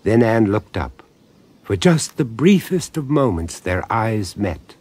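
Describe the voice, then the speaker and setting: An actor narrating a supernatural radio drama as a grave storyteller, with measured, suspenseful phrasing. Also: male voice, fairly low pitch, very animated, darkish tone, breathy edge, exaggerated voice.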